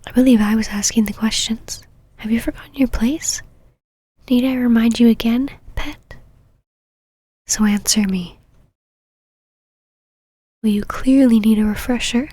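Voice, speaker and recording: Casting: actress for female vampire knight, ASMR roleplay. Breathy whisper, haughty, possessive, teasingly controlling. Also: on the high side, fairly even intonation, dark tone, no echo. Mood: stern, condescending. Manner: whispered, slow condescending endearments and commands.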